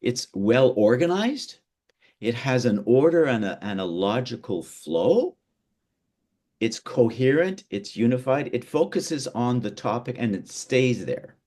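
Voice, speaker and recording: Halting middle-aged male workshop teacher. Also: mid-range voice, very animated, a little mellow. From Canada. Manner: halting, many fillers and repeated words. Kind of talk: online workshop lesson. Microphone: video call.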